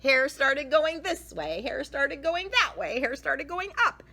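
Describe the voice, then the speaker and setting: A woman reading a picture book aloud to children, expressively, with drawn-out emphasis. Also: high-pitched, sing-song, bright, forceful tone. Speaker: children's storyteller.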